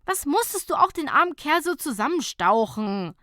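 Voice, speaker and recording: Woman playing a bright, sassy, outspoken friend. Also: sits high, big pitch swings, moderate pace, dry recording, clean studio sound, pitched above the performer's own voice, full character voice. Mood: reproachful. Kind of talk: humorous audiobook character dialogue.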